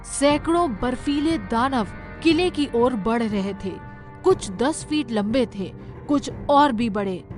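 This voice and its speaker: A woman giving scripted, dramatic narration of an animated story. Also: on the high side, takes it slowly, soft background music.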